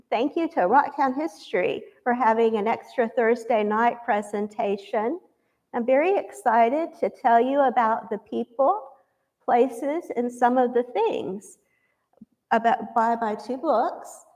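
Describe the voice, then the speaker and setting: A woman author from western North Carolina giving a book presentation to a live audience, speaking slowly and carefully with a small voice. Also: on the high side, a little mellow. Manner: slow and careful, says she has a tiny voice. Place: lecture room before an audience. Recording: long hall reverb.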